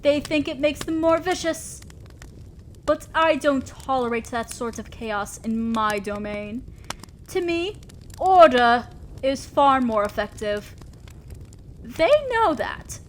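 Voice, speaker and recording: Woman voicing a high-pitched, imperious female demon lord. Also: animated, slow. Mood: stern, probing. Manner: imperious, theatrical, mock-scolding. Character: commanding female demon lord, teasing yet protective boss. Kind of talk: scripted audio roleplay addressed to the listener. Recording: faint ambient noise, crackling fire.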